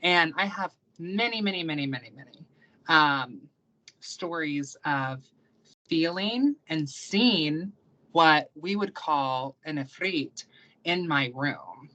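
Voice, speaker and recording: A woman's voice: deep voice, expressive, slow, fairly bright tone, dull audio. A young American woman, a guest on an interview stream, chatting casually and rambling with many ums and likes. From United States. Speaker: guest, practicing witch and YouTuber.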